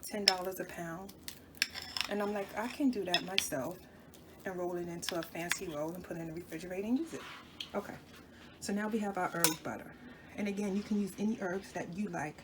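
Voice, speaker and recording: A woman's voice: mid-range voice, very noisy. Chatty woman with a stuffy nose. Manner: chatty, talks to viewers while working, self-correcting. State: stuffy from a cold. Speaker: cooking channel host. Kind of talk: casual cooking demonstration. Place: home kitchen.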